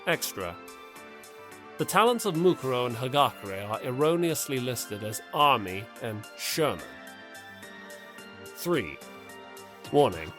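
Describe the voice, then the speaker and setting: Expressive male audiobook narrator, lively pitch. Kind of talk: scripted prose narration and reading of notes.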